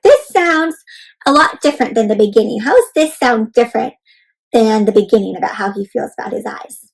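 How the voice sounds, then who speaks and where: A woman hosting an interactive read-aloud for children, speaking in an animated, child-directed way with questions and exclamations. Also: sits high, low-quality recording. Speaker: host reading a picture book aloud to children.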